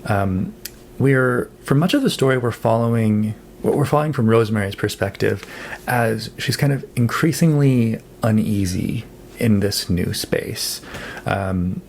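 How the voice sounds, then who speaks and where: A man talks through his recent reads in an easygoing, conversational way, dropping in lots of "um" and "like". His voice sits low and has a gravelly edge. A faint hum of noise sits under his voice. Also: unhurried. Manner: relaxed and chatty, with frequent "um" and "like". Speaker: book vlogger. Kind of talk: casual monthly reading wrap-up.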